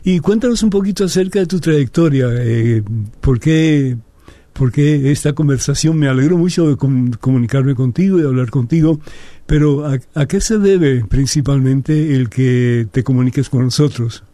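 A man's voice: mid-range voice, normal speed, no room sound. A man speaking as a priest and programme host, reverent and conversational. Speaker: priest hosting a call-in programme. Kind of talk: devotional prayer and unscripted interview. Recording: soft new-age music behind the voice. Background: new-age music.

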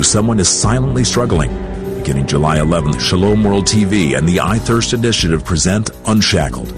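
Deep, grave male promo voice. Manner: grave, dramatic promo read. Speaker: promo announcer. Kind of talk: scripted TV series promo.

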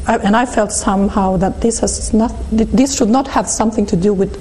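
A Swedish woman in her fifties with a Swedish accent, speaking as an interview guest and recounting her life in a hesitant, halting way with many restarts. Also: mid-range voice, mellow, a little hiss or hum. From Sweden. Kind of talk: reflective personal testimony.

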